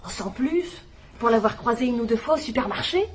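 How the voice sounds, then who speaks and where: A fussy, condescending female companion, played by an actress who talks down in a gossipy, motherly manner. Her voice sits a little high, with a faint hiss behind. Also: animated, brisk, close to an everyday voice. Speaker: companion and housekeeper. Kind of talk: dubbed drama acting.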